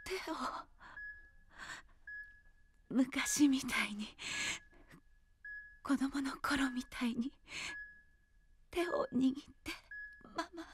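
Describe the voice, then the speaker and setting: An actress playing a sick grown daughter in an audio drama, weak, breathy and tearful. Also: female voice, high voice, lively intonation, slow, long pauses, noisy background. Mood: weak pleading. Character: frail, sick grown daughter, sorrowful, clinging to mother. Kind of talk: Japanese audio drama acting.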